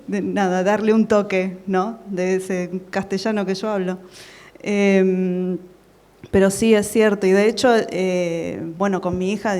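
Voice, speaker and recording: A woman's voice: medium pitch, a little mellow. A woman translator speaking on a panel, hesitant, with many fillers and restarts. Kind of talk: panel discussion. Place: conference hall at a book fair.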